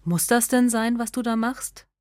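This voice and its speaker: A woman reads a scripted radio essay as narrator, in a measured, articulate way. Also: on the high side, a little mellow, no echo, studio quality.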